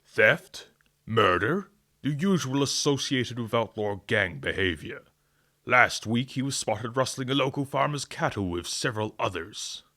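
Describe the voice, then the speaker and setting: Stern, sharp-voiced government agent. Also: male voice, mid-range voice, expressive, unhurried. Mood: stern, commanding. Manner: formal, clipped, authoritative. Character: stern, officious government investigation agent in a western. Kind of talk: western radio drama acting.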